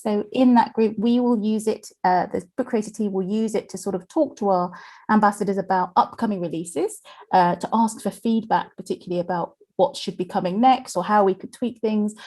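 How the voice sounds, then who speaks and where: A woman's voice: mid-range voice, dark tone. A woman hosting an online webinar in a chatty way, with many fillers. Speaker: webinar host from the company. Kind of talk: presenting a webinar.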